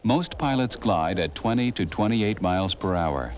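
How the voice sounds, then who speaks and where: A man's voice: low-pitched, animated, moderate pace. A man reading scripted documentary narration. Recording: music under the voice. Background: background music.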